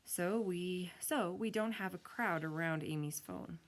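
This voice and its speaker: A woman voicing a cautious, curious schoolkid in a storybook, tentative and low-key. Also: measured pace, close to an everyday voice. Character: cautious, curious schoolkid narrator among friends.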